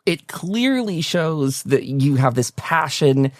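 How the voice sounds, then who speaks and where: A man's voice: a little high, sing-song, slow pace, no echo. Enthusiastic male host, close dry sound. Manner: enthusiastic, effusive. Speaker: talk show host. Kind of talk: casual interview hosting.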